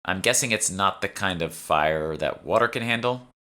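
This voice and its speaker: A man performs a young fantasy heroine in an audiobook. She is anxious and inquisitive, asking questions in an uneasy manner with a tense, bright tone. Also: deep voice, lively intonation, normal speed.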